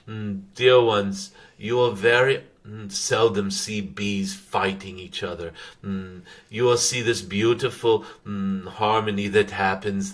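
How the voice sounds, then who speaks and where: A man channeling a wise, benevolent dragon spirit in a spiritual message, speaking in formal, measured phrases broken by thoughtful hums. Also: fairly low pitch, a bit bright. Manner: formal, archaic-tinged phrasing. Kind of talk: channeled spiritual message, slow unscripted address to listeners.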